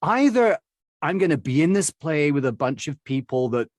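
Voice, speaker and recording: A man's voice: on the high side, big pitch swings, moderate pace, no echo. A middle-aged man with an English accent, a dialect coach telling his story as a guest in a casual interview, rambling with lots of fillers and small laughs. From England.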